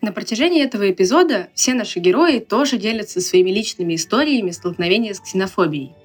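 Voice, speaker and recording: A woman's voice: medium pitch, animated, fast talker, slightly rough, soft background music. A woman hosting a podcast, reading scripted narration that links the segments. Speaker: podcast host.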